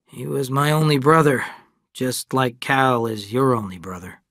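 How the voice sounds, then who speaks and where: A man voicing a grave, widowed father of twins who speaks in a measured, earnest way. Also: medium pitch, steady tone, a bit bright, no echo, clean studio sound. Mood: solemn.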